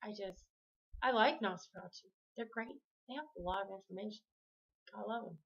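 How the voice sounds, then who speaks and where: A chatty woman talks to camera from her home, wandering through her thoughts and stopping to fix her words mid-sentence. Her voice sits at an ordinary middle pitch. The sound is dull and lo-fi, like a basic home video. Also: brisk, slightly rough. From Canada. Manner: rambling, self-correcting, chatty. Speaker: vlogger. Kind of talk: casual talk to camera. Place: home room with the TV on.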